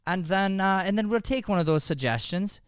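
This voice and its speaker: Male improv host, animated delivery, smooth voice, chatty and encouraging. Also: sits high, fairly quick, dry recording, studio quality. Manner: chatty, encouraging audience address. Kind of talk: informal live show introduction. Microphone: podcast microphone.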